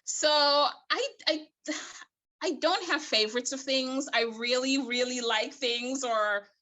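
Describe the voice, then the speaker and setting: Bright-voiced woman from Guyana. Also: sits high, takes it slowly. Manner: hesitant, thoughtful, many fillers and restarts. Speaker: guest, librarian. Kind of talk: casual interview chat.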